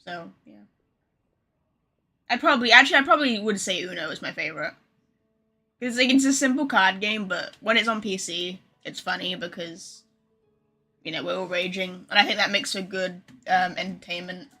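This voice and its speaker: A woman's voice: mid-range voice, moderate pace, bright, forceful tone. A young adult woman giving casual, informal livestream commentary while gaming. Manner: informal, sweary. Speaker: game streamer. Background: game music.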